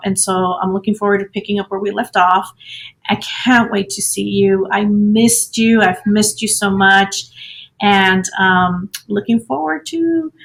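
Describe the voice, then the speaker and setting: A woman's voice: medium pitch, a bit bright, low-quality recording. An American woman, a Spanish teacher from Los Angeles, giving an informal welcome address to students. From Los Angeles, California, United States.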